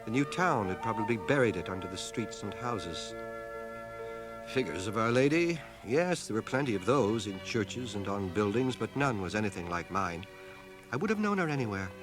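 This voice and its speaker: Wry scholarly schoolmaster: lowish voice, expressive range, dry literate storytelling for radio drama. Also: male voice, over music. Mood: dry, detached, then quiet enthusiasm. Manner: dry, literate, measured irony. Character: wry, learned schoolmaster, a wounded veteran narrating his life. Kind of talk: dramatized first-person radio narration. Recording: 1980 radio drama cassette transfer.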